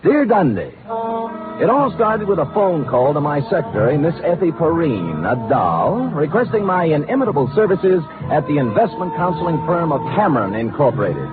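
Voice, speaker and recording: Wisecracking boozy private eye, old time radio, very animated delivery, tinny narrow sound. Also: male voice, fairly high pitch, moderate pace, music in the background. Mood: wry narrating. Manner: wry, glib, theatrically wordy. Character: wisecracking, hard-drinking male private detective, breezy and flirtatious. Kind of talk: 1940s radio detective acting. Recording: thin band-limited old radio recording, faint noise.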